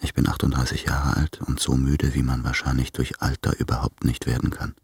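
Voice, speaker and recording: A man's voice: very deep voice, level tone, dry recording, soft background music, heavily acted. An actor playing a weary, anxious man of thirty-eight in a brooding, intimate radio drama monologue. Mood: weary, uneasy. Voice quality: gravelly, husky. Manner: brooding, hushed, deliberate.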